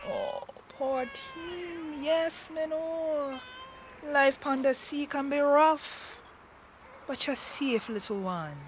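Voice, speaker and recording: Slow-talking, tough female sea captain. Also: high-pitched, slight background noise. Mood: tender, crooning. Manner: wry, commanding, profane. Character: tough, foul-mouthed woman boat captain of the seas. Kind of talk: fantasy audio drama acting.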